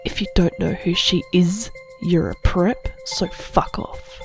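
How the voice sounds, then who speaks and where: A woman's voice: lowish voice, steady tone, takes it slowly, darkish tone, faint music behind. A woman playing a moody, melodramatic teenage goth girl narrating a parody cartoon. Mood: gloomy. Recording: clearly audible background noise.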